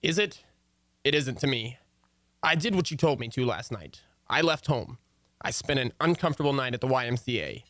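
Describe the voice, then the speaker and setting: A close, dry-sounding recording of an actor playing a put-upon husband in an old radio comedy. He has a medium-pitched voice and tells his troubles with deadpan sarcasm. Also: normal speed, no room sound, lightly acted. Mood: glum, aggrieved. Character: exasperated, wisecracking husband who narrates his own marital troubles. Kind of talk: old-time radio comedy acting and narration.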